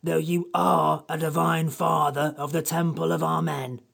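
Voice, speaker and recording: Ancient sand-fairy for children's storybook: high, husky croak full of fry, knowing, grumpy, deliberate. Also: male voice, flat delivery, a bit bright, exaggerated voice. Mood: menacing, superior. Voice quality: gravelly, croaky. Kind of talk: dramatized children's storybook reading.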